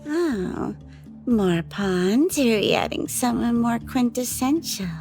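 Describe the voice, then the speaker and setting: An actress playing a composed, cunning woman who speaks in a measured, formal way in an audio roleplay. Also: fairly high pitch, expressive, darkish tone, soft background music. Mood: calm, scheming. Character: composed, cunning woman, devoted partner and schemer. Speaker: lead character in an audio drama. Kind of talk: ASMR audio roleplay acting.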